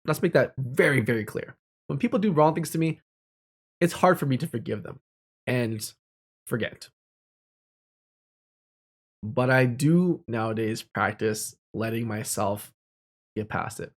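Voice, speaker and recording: A man's voice: mid-range voice, a little slow, long pauses. A young man telling personal stories in a casual, candid and reflective way, speaking informally. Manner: candid, informal, with mild swearing.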